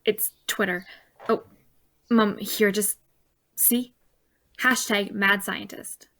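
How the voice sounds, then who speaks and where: A woman's voice: mid-range voice, steady tone, slow pace, fairly bright tone. An actress playing a young woman, the sullen and sarcastic daughter of a scientist, in an audio drama. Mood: concerned, impatient.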